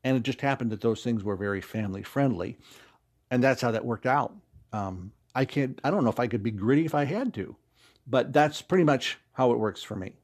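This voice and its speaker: A man answers interview questions in a casual, conversational way. He talks expressively and rambles like a joking storyteller, at an ordinary middle pitch. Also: fairly quick. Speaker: interview guest, audio drama writer and actor.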